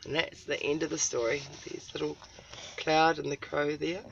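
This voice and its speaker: A woman from New Zealand reading a children's story aloud as host, dramatic, with deliberate pauses and emphasis. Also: low-pitched, fairly even intonation, gravelly, lo-fi sound, slight background noise.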